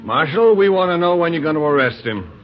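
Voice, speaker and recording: An actor playing a hotheaded, troublemaking townsman who talks loudly and accusingly. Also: fairly high pitch, sing-song, a little fast, over music. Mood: agitated. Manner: loud, agitated, accusing. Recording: dull noisy old radio recording.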